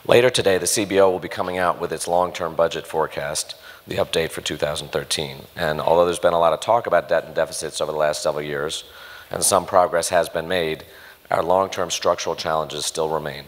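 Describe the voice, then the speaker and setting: A man with a hoarse, failing voice giving prepared welcome remarks at a breakfast event. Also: very deep voice, fairly even intonation, brisk, in a large hall. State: losing his voice, hoarse. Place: conference room at a live breakfast event.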